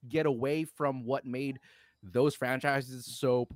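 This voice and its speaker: Male podcast co-host: opinionated, exasperated and emphatic, swears freely, average pitch, close dry recording. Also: steady tone, slow, no room sound. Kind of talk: casual opinionated film discussion.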